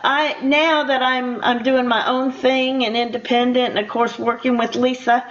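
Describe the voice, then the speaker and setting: An older woman hosting a casual radio interview in a chatty, conversational way, quick to laugh. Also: sits high, little pitch movement. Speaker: radio show host. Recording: dull, lo-fi radio interview audio.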